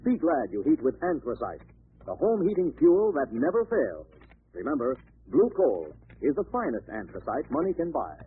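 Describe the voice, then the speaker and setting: Animated male old-time radio announcer. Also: mid-range voice, normal speed. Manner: emphatic, formal, declamatory. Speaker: announcer and narrator. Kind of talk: 1930s-40s radio announcing, scripted narration and sponsor read. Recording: tinny old radio recording, faint noise.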